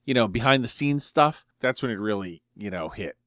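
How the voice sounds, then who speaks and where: A man's voice: fairly low pitch, lively intonation, brisk, a bit bright, dry recording, clean studio sound. A middle-aged man chatting informally and agreeably as a podcast co-host. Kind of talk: casual chat.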